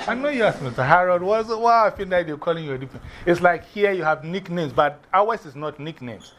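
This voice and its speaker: A man from Ghana with a Ghanaian accent, teaching a class in a lively way, often repeating and spelling out words. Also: high-pitched, brisk, slight background noise.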